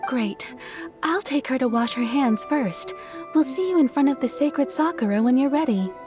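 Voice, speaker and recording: High-voiced, earnest shrine attendant. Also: female voice, lively intonation, normal speed, over music. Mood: helpful. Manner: polite, eager to help. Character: earnest, courteous young female shrine attendant. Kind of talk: video game voice acting.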